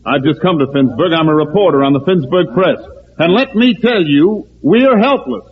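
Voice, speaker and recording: An actor playing a blunt, forthright newspaper reporter in an old-time radio drama. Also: male voice, on the high side, animated, normal speed, natural voice. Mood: blunt, indignant. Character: blunt, discouraging newspaper reporter, secretly undercover. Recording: muffled, hissy old radio recording.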